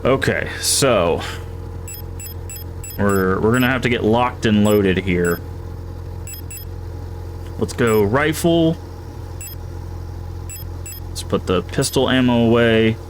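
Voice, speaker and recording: A man's voice: lowish voice, animated, slow pace, pauses often, a little hiss or hum. A man streaming a horror game, thinking aloud and reading in-game items and notes as he plays. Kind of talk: casual gameplay commentary.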